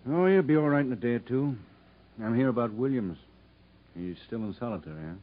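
An actor as a measured prison doctor, muffled recording. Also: male voice, medium pitch, animated, lightly acted. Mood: concerned, firm. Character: level-headed, principled male prison doctor. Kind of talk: 1950s radio drama acting. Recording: muffled vintage radio broadcast.

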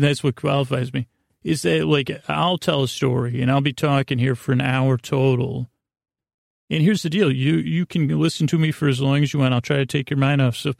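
Male bedtime podcast host, creaky gravel voice, goofy halting rambles, close dry sound. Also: mid-range voice, a little mellow, dry recording. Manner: meandering, halting, goofy, self-deprecating.

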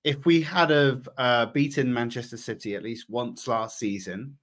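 A man's voice: mid-range voice, steady tone, moderate pace, a bit bright. A man hosting a football podcast while sounding bunged up, upbeat and jokey. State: stuffy, bunged up. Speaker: podcast host. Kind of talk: casual football chat.

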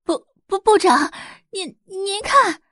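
A woman's voice: very high voice, slow, sharp tone, dry recording, clean studio sound, pitched above the performer's own voice, full character voice. A woman voicing a panicky, stammering office programmer in an audio drama. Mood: panicked. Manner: stammering in alarm.

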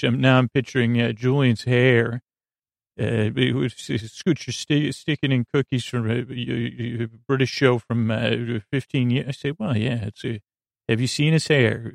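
A man hosting a sleep podcast, rambling in a soothing, creaky drawl that wanders off topic and interrupts itself with wry asides. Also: medium pitch, no echo, studio quality. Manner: meandering, self-interrupting, wry. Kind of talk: rambling late-night monologue.

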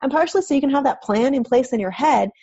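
A woman hosts a podcast in a gravelly, husky voice. She affirms her guest and talks in a relaxed, casual way. The recording sounds dull and lo-fi. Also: sits high, fast. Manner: supportive, affirming interviewer who wraps up with plugs. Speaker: podcast host. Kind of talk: casual interview chat.

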